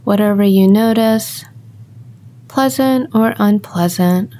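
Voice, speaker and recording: A woman guiding a body scan meditation, speaking calmly and gently, giving unhurried instructions with long pauses. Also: mid-range voice, slight background noise. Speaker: meditation guide.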